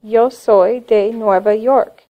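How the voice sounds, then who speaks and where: Slow-spoken woman teacher, lo-fi. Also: a little high, steady tone. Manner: slow, clear teaching delivery. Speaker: Spanish teacher. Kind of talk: scripted cartoon lesson narration. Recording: dull lo-fi sound.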